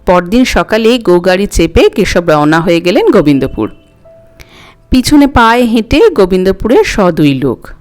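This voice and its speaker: A woman's voice: medium pitch, sing-song, normal speed, darkish tone, faint music behind. A woman reading a scripted story aloud as an audiobook narrator.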